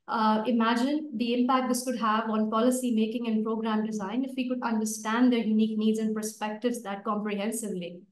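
A woman in her thirties, a native Urdu speaker, giving a prepared debate speech over a video call in a steady, list-like way. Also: fairly high pitch, fairly quick, few pauses. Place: home room. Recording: echoey video call from far off.

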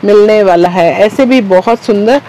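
A woman's voice: medium pitch, normal speed, dark tone. Woman presenting goods in repetitive patter. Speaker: shopping vlog host. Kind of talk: product showcase, presenting wholesale goods. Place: small wholesale market shop, indoors.

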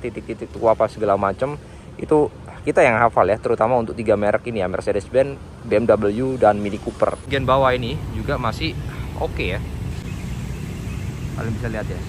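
A man giving an informal, slangy, enthusiastic walkaround sales talk on a car. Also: fairly low pitch. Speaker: car seller presenting a used car. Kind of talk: casual walkaround review to camera. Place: outdoors by a car and inside it. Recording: clear outdoor and car background noise.

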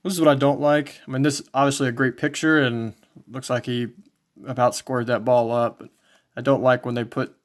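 A man casually commentating as he shows collectibles, in a low-key, folksy way, thinking aloud. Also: vocal fry. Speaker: trading card collector and video host. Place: indoors, small home tabletop setup.

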